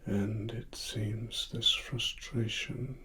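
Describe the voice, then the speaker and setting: A British man performing a softly spoken ASMR roleplay as a grave cyberpunk investigator, breathy and partly whispered, with deliberate pauses. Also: lowish voice, flat delivery, slight background noise. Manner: slow, deliberate, hushed, with long pauses. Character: grave cyberpunk investigator uncovering corporate wrongdoing.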